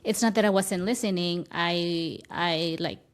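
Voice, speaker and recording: A woman's voice: on the low side, a little slow, smooth. A woman whose first language is Spanish, speaking English as a guest in a conversational interview, thoughtful and often restarting her phrases. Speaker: guest, spiritual teacher.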